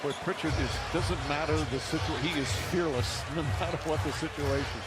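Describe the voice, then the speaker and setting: A man's voice: a little high, brisk, heavy background noise. A man calling a basketball game live in clipped phrases that follow the action. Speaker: basketball play-by-play announcer.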